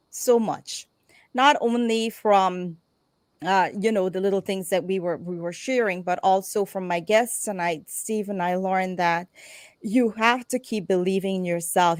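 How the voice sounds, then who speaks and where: A woman's voice: medium pitch, dry recording. A middle-aged woman hosting a live online talk show, speaking in an effusive, heartfelt way.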